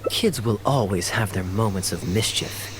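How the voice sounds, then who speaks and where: A man's voice: lowish voice, moderate pace, slight background noise, natural voice. A man voicing a suave, easygoing young knight with a sly, playful and casual manner, in video game style.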